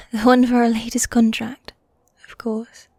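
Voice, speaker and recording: A woman playing a teasing, needy yet dominant goth demon girlfriend in a fantasy ASMR roleplay, soft and breathy, often whispering, close to the mic. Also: fairly high pitch, completely flat delivery, unhurried, no room sound. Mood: weary, mildly exasperated. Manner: teasing, coy, affectionate, with sighs. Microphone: close, dry microphone.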